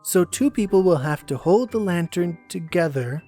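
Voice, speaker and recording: A man's voice: high voice, expressive, normal speed, mellow, soft background music. A man voicing a fussy, melodramatic teenage boy in an anime dub style. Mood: puzzled reading. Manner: melodramatic, whiny. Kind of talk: amateur anime dub acting.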